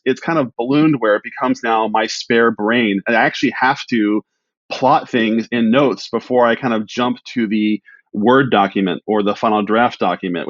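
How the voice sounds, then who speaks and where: A man's voice: medium pitch, no room sound. Chatty self-deprecating man, dry dull recording. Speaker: podcast guest, writer. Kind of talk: casual interview chat.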